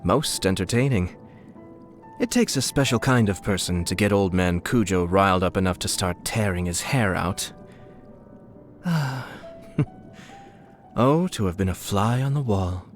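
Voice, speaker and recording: Game role: calm, scheming young male clan head, lowish register, courtly and measured, music underneath. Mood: amused. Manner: polite, measured, formal, slyly amused. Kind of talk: scripted video game character lines.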